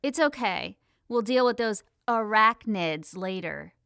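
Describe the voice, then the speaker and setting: A woman playing an excitable, stubborn sixteen-year-old girl with emphatic, dramatic delivery in an audiobook. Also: mid-range voice, slow pace, vocal fry, clear, resonant tone, exaggerated voice. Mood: dismissive, insistent. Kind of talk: audiobook character dialogue.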